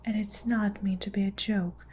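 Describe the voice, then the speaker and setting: A woman's voice: mid-range voice, fairly even intonation, moderate pace, darkish tone, slight background noise, close to an everyday voice. A woman whispering the lines of a furious, child-hating giant of a headmistress who snarls insults. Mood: scornful.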